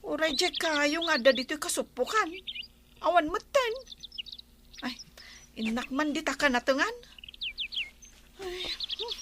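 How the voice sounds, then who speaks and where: A woman playing a chatty village neighbour who is quick to grumble, exclaiming colloquially in a comedic radio drama. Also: very high voice, noisy background.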